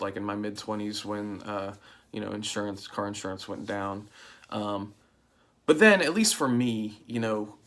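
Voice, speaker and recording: A man in his forties talking in a casual, reflective monologue to his channel, halting often with fillers and restarts. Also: on the low side, a bit bright. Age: 40s. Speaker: vlogger.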